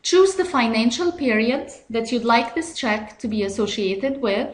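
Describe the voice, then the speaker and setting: A woman narrates a software tutorial with a fairly high voice, taking it slowly and step by step. Her delivery is measured and instructional, and the recording sounds noticeably roomy and echoey. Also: lively intonation.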